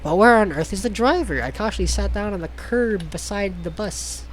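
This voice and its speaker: High-voiced male reader, hammy and sarcastic, tripping over words, faint noise behind. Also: animated, moderate pace. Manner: theatrical, sarcastic asides, stumbles over words. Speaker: host reading a visual novel aloud. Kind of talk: mocking dramatic read-aloud with ad-lib commentary.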